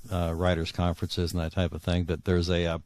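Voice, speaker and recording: A middle-aged man answering as a guest author in a conversational interview, earnest and halting, often repeating words as he thinks. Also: low voice, completely flat delivery, a little mellow, no room sound.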